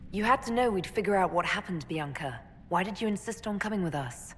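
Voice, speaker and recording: An actress voicing a composed, probing leader in a video game, direct and measured, firm but fair. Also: female voice, mid-range voice, expressive, fairly bright tone, close to an everyday voice. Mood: questioning, stern. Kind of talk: video game dialogue acting. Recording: long hall reverb, faint ambient noise.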